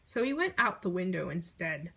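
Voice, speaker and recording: A woman reading a children's picture book aloud in an expressive storytelling manner. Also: fairly low pitch, normal speed, gravelly, slightly rough, poor audio. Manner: expressive storytelling, acting out short exclamations.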